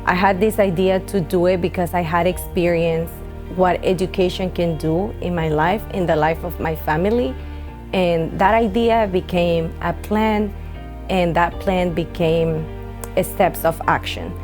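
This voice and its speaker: A woman's voice: fairly low pitch, fairly even intonation, measured pace, darkish tone, faint music behind. A young woman from Honduras, founder of a nonprofit, giving an earnest, heartfelt testimony with some hesitations. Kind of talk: documentary testimony interview.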